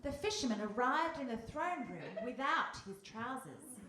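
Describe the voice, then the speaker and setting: A woman's voice: high voice, sing-song, unhurried, pushed and bright. A woman narrating a scripted fairy-tale radio play live on stage. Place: live stage in a hall with audience. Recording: echoey hall, faint noise.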